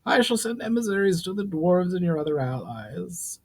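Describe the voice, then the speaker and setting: Guy doing a suspicious goblin boss for a storybook, way high voice, swoopy, formal and challenging. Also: big pitch swings, moderate pace, heavily acted. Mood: grudging. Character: goblin leader, male, suspicious, proud, questioning negotiator.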